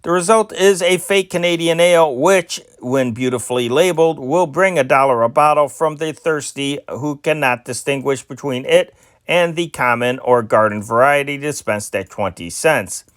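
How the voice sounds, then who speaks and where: A man reading old newspaper stories aloud in a steady amateur style, with small slips and restarts. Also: on the high side, moderate pace, dry recording, studio quality. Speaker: newspaper reader.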